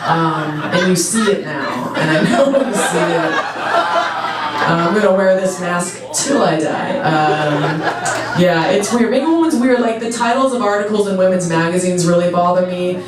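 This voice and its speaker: Giggly deep-voiced woman doing stand-up. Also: animated, normal speed, very noisy. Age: 30s. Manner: laid-back, giggly, lots of fillers. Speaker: stand-up comedian. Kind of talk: stand-up set. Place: comedy club stage. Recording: echoey club, voice from a distance, crowd. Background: audience laughing.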